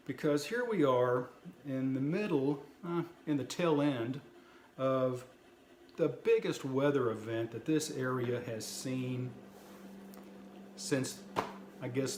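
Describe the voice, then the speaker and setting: A man's voice: medium pitch, slow pace, long pauses, a little mellow. A man hosting a casual video review, talking to viewers in a plainspoken, folksy way. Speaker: host of a prepper video channel. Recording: roomy echo, light background noise.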